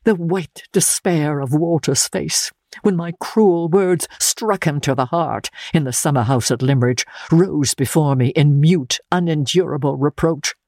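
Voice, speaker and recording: A woman narrating a classic novel in the first person, reading dramatically in a measured, grave manner with weighted pauses. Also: sits low, dark tone, no echo, studio quality. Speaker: audiobook narrator.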